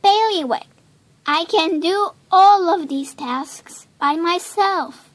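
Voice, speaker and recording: A woman's voice: very high-pitched, slow, voice pushed up. A woman voicing an earnest, eager young princess in a children's storybook, with a pleading, exclamatory way of talking. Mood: urgent, pleading.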